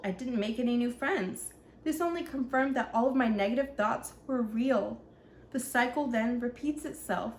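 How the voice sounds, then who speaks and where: An earnest young ninja from a picture book , read by a woman, teaches children in simple words. The voice sits fairly high, in an echoey room with faint noise behind it. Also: lightly acted.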